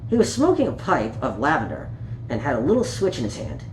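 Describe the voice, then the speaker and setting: A man reads a picture-book tale to children with a very lively, swooping delivery. He speaks playfully, straight to his young listeners. The recording sounds roomy, with a little background noise. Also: mid-range voice, brisk. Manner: playful, child-directed, with small exclamations and questions to listeners. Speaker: storyteller reading for children.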